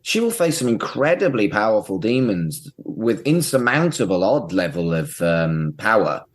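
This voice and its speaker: Male podcast host, lower-pitched with very animated intonation, casual and blunt in delivery. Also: moderate pace. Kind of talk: casual episode discussion.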